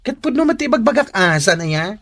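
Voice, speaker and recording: A man's voice: high voice, very animated, brisk, fairly bright tone, full character voice. A man playing a blunt, caring, gossipy friend who gives advice in a radio drama. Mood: blunt, concerned.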